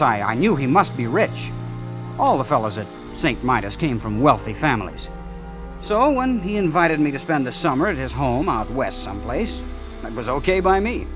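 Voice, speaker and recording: A man's voice: medium pitch, lightly acted, poor audio. An actor playing an eager, naive prep-school young man from a small town, folksy and chatty, in a 1940s radio drama. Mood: reminiscing, hesitant. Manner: folksy, boyish, hesitant storytelling. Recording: vintage radio recording with music behind.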